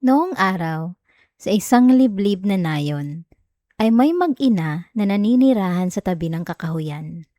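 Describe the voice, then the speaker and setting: A woman with a Filipino accent playing a sweet, clingy young wife in an intimate ASMR roleplay, speaking softly and affectionately close to the mic. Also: mid-range voice, expressive, measured pace, dry recording, natural voice. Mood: calm, soothing. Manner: soft, giggly, affectionate, coaxing. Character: sweet, clingy, teasing young wife soothing her partner. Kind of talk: intimate ASMR roleplay, bedtime chat and story reading. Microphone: close microphone, dry sound.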